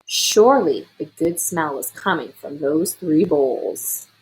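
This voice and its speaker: A woman reading a children's picture book aloud as a storyteller, expressive and deliberate. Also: low voice, slightly rough, poor audio.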